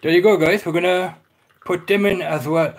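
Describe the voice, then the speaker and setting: A man's voice: high voice, steady tone, moderate pace. A man with a slight Irish accent chatting to viewers while he cooks in a small home kitchen, full of fillers and calls of "guys". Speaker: cooking vlogger. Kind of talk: casual live cooking talk.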